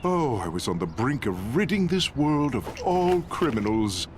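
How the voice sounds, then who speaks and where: An actor playing a vain, smug criminal mastermind in a video game, with a boastful, theatrical delivery. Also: male voice, mid-range voice, sing-song, a little slow. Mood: defensive, smug. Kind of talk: video game cutscene acting.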